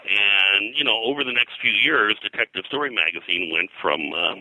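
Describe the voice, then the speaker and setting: A man with a low, forceful voice talks as a guest historian in an informal interview. He piles up details haltingly and wanders into asides. The tone is very bright and the sound is dry and close. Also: no room sound, rough recording. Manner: halting, digressive, detail-heavy explaining. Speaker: pop culture historian, guest.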